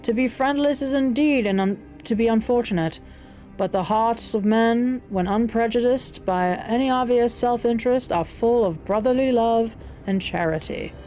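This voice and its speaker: A woman plays a gentle old blind man from a classic storybook, speaking with a Southern US accent. The voice is lifted in pitch, earnest and reassuring, with old-fashioned courtesy and faint music underneath. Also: normal speed, natural voice. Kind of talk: audiobook character voice.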